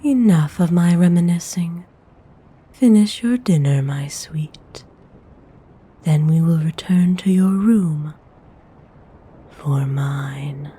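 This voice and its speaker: Woman whispering as a slow, breathy vampire noblewoman. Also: on the low side, warm tone, full character voice. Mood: doting. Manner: slow, measured, formal, intimate. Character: centuries-old female vampire noble, courteous, possessive, gently commanding. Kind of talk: ASMR roleplay, whispered.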